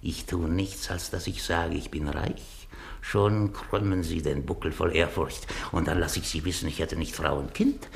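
A man's voice: low-pitched, steady tone, normal speed, gravelly. An actor playing a gloating, miserly Venetian schemer in a German radio drama, speaking theatrically and savouring his words. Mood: gleeful, scheming. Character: gloating, miserly rich Venetian schemer who feigns dying.

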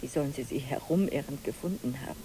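A woman's voice: rumbling low, fast talker, background noise. An actress in a radio drama playing a grieving cockroach mother, a doubting yet loving wife, bleak and questioning. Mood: doubting, bitter.

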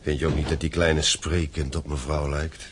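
A man's voice: very deep voice, flat delivery, moderate pace, slight background noise. An actor in a radio play as a stern, proud father and landowner, speaking with authority. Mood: confiding. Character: stern, proud father and mine-owning gentleman.

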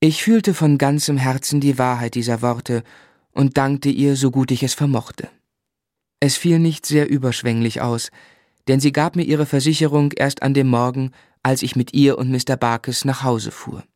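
A man's voice: medium pitch, steady tone, moderate pace, darkish tone, dry recording, clean studio sound, close to an everyday voice. An actor playing a grown man who recalls his boyhood, narrating a literary radio drama in the first person. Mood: reflective. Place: dry studio.

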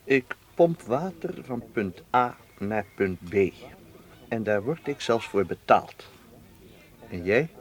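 A man's voice: medium pitch, sing-song, takes it slowly. A man playing a guarded, wary suitor in a radio drama, with a terse, dry manner. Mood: curt, irritated. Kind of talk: naturalistic Dutch radio play acting.

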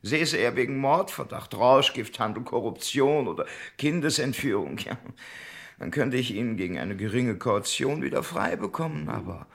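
A fussy, pompous crook in a radio crime comedy. His formal phrasing rises and falls theatrically over a creaky, mid-pitched voice. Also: animated, normal speed, a bit bright. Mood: indignant.

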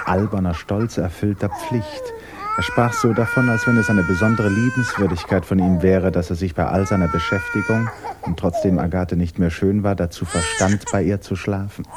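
A man's voice: deep voice, very noisy. A man narrating a literary radio play as scripted storytelling.

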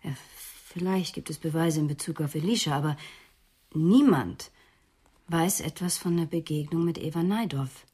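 An actress playing a cornered, bitter psychoanalyst who has killed, in a German radio crime drama, with an intense, defiant manner. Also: female voice, sits low, moderate pace, dark tone, slightly rough.

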